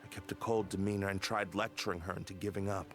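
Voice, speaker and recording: An actor playing a stern, grieving guard captain bent on vengeance, speaking gravely and deliberately in a dramatic video game. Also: male voice, low-pitched, little pitch movement, a bit bright. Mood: bitter, vengeful. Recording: background music under the voice.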